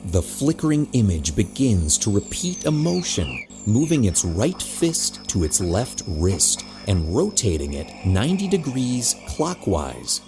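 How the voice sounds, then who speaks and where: A man's voice: fairly low pitch, wildly swooping pitch, measured pace, hardly any pauses, music in the background. A man reading scripted narration for an audio drama, describing the action as narrator.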